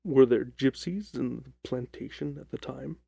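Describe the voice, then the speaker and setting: A man's voice: mid-range voice, moderate pace, gravelly, warm tone, slightly rough, no room sound, pitched below the performer's own voice. A man playing a keen, probing detective, questioning with clipped authority in an audiobook drama. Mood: probing, measured.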